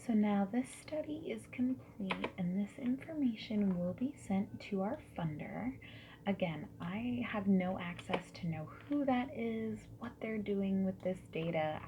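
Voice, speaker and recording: A woman speaking softly and breathily, often dropping to a whisper, in a gentle, friendly ASMR survey-interviewer roleplay. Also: medium pitch. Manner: gentle, friendly, unhurried customer-service politeness. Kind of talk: soft-spoken ASMR roleplay. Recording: lo-fi, noisy with keyboard typing and clicks. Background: mechanical keyboard typing and clicks.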